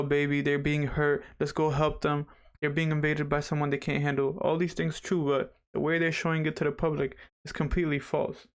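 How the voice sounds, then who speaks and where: Young man, slightly raspy, casual livestream host, rambling direct-to-viewer talk, home mic. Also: a little high. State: slightly raspy after dental surgery.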